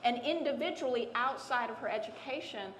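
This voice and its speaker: Animated woman panelist, echoey room. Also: a little high, fairly quick, a little hiss or hum. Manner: animated storyteller, repeats words for emphasis. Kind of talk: storytelling on a panel.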